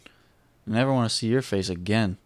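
A man's voice: fairly low pitch, moderate pace, dry recording. A man casually commenting while playing a game, joking and laughing as he reads the dialogue. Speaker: gaming channel host. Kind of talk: casual let's play commentary.

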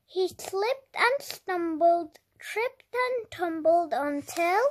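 A very high-pitched, childlike voice reads a picture book aloud. The delivery is extremely slow and deliberate, with dramatic emphasis suited to young children. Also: expressive. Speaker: children's storybook reader. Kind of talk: expressive read-aloud narration for young children.

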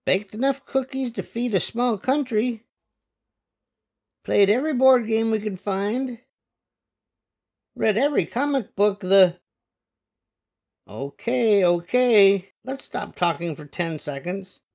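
An older man voicing restless, imaginative young boys in an expressive, dramatic storybook read-aloud. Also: high-pitched, takes it slowly, gravelly, long pauses, exaggerated voice. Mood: bored. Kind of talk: animated storybook read-aloud with character voices.

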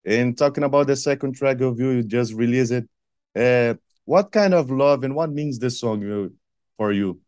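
A Brazilian man with a Brazilian Portuguese accent, interviewing in careful, slightly halting English over a video call from a small room. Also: medium pitch, darkish tone, clear, resonant tone, dry recording, studio quality. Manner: careful non-native English, apologetic. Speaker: radio interviewer. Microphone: video call with headphones.

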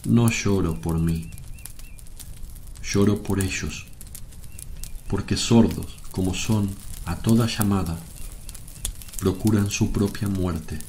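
An actor playing a gentle, grave rabbi and healer, solemn and measured, in a radio drama. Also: male voice, fairly low pitch, a little hiss or hum, close to an everyday voice. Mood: sorrowful. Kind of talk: Spanish radio drama acting.

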